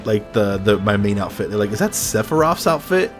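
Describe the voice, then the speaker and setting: A man's voice: lowish voice, very animated, brisk, music in the background. A man hosting a casual podcast chat, rambling loosely, swearing, and laughing often.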